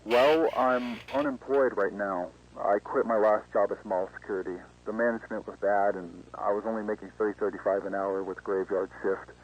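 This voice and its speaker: Young male job applicant played by a man, lowish and casual, polite and hesitant, dull lo-fi phone-call sound. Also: steady tone, normal speed, hardly any pauses, slightly rough. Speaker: voice actor in an analog horror video. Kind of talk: acted phone conversation.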